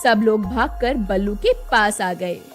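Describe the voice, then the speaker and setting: A woman's voice: mid-range voice, slow pace, faint music behind. A woman narrating a scripted story in a dramatic storytelling style.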